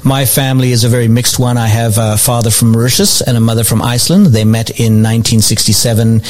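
A man in his fifties talks easily as an interview guest. His pitch sits in an ordinary middle range, and his delivery is relaxed and anecdotal, with a quick chuckle close at hand. He comes across as a pastor chatting about his own life. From born in France, raised across several countries. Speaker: pastor and church administrator, guest. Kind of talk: casual interview answers.

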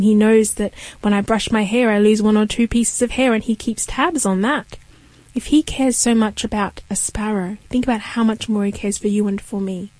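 A woman's voice: a little high, darkish tone, no echo. Earnest young woman from Kenya. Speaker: guest. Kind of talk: faith testimony interview.

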